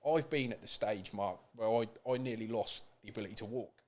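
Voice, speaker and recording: Lowish-voiced British man, speaking candidly. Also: fairly quick. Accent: British English. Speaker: podcast guest, support group founder. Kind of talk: candid personal interview.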